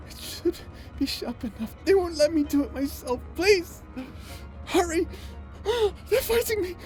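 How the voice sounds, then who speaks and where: A woman's voice: very high-pitched, a little mellow. A woman voicing a tormented, possessed young woman who sobs, gasps and pleads to be killed. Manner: pleading, breathless sobbing. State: crying. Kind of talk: dramatic voice acting.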